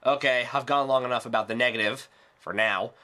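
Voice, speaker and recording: A man's voice: mid-range voice, a little fast, bright, forceful tone. A man delivering a scripted ranting review in a sarcastic, exasperated, emphatic way. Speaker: video reviewer.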